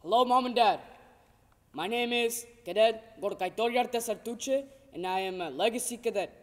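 A teenage male cadet from Portugal projecting loudly in a formal speech on stage. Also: high voice, normal speed. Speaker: military academy cadet. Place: dark auditorium stage. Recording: echoey hall, distant mic.

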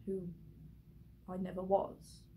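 Slow, soft-toned despairing daughter played by a woman. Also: on the low side, completely flat delivery, slight background noise. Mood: resigned, sorrowful. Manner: hesitant, halting, pausing between phrases. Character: despairing grown daughter quietly explaining herself to her mother. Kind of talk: dramatic stage monologue.

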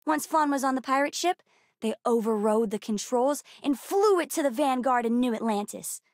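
A woman voicing a bright, curious, bookish young girl in a video game, speaking in an eager, earnest and cheeky way. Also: high-pitched, expressive, normal speed, no echo, studio quality. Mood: curious, chatty. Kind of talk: video game character voice lines.

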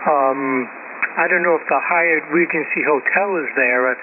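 Thin radio audio, heavy static, older man, halting casual chat, amateur operator. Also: fairly high pitch. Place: received over shortwave radio. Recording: noisy, narrow-band shortwave ham radio audio.

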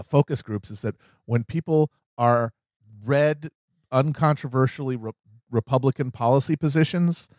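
A man's voice: mid-range voice, a little mellow, no room sound, studio quality. An American man hosting a podcast, talking casually about politics with a satirical bent. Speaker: podcast host.

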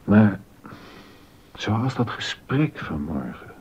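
A troubled court composer, played by a male actor in a historical radio drama. His voice is low and husky, and the sound is slightly dull. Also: sing-song, takes it slowly, darkish tone, exaggerated voice. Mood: pensive. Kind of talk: scripted radio drama dialogue.

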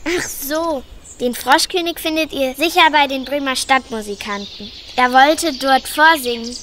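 Woman voicing a chatty young storybook girl, high, bright voice, faint birdsong behind. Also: a little slow, a little hiss or hum. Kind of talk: children's radio play acting.

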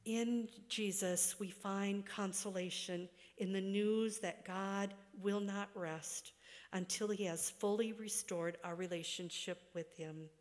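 A middle-aged or older woman preaching a sermon from a church pulpit, phrase by deliberate phrase, letting sentence ends trail away. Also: medium pitch, steady tone. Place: church sanctuary, at a wooden pulpit. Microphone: gooseneck pulpit microphone. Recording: long reverberant church hall.

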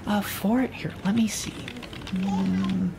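A man's voice: sits high, wildly swooping pitch, takes it slowly, vocal fry, darkish tone, soft background music, pitched above the performer's own voice, exaggerated voice. A man voicing a matter-of-fact, helpful hospital receptionist in a comedic audio drama.

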